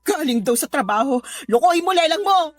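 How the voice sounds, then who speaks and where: A woman's voice: high-pitched, animated, brisk, soft background music. A woman playing a hurt, suspicious wife and worried mother in an emotional radio drama, tearful, pleading and accusing. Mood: tearful, angry.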